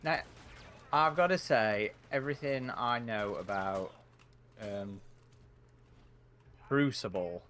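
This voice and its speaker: A man with a fairly low voice chats on a live game stream, pausing often and hedging his opinions. A faint hiss runs underneath. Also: a bit bright. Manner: thoughtful, hesitant, with frequent fillers. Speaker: stream co-host. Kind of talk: casual gaming chat.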